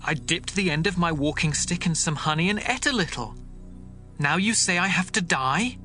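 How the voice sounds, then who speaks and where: Actor as bright-voiced Jonathan, king's son. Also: a little high, lively intonation, normal speed, soft background music. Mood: confessing, dismayed. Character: Jonathan, king's son, earnest young warrior. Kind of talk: dramatized audio Bible acting.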